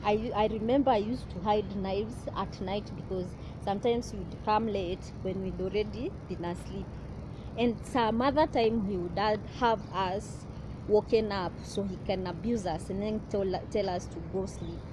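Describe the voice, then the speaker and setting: A young woman talks in a personal vlog, earnest and halting, often restarting her sentences. Her voice sits at an ordinary middle pitch. Clear outdoor traffic noise runs behind her. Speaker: vlogger.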